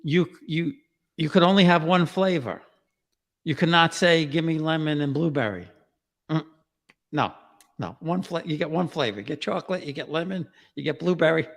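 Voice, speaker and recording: Casting: man, Queens New York accent, easygoing storyteller, slightly higher voice, reverberant room. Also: normal speed, gravelly. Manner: storytelling, easygoing, chuckles often. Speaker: podcast host and author. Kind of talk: casual interview chat.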